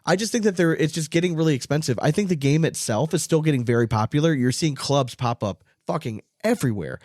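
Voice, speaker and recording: A man's voice: fairly high pitch, lively intonation, fast talker, darkish tone, dry recording, clean studio sound. A man hosting a casual sports podcast, talking emphatically and opinionatedly and swearing freely. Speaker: podcast host.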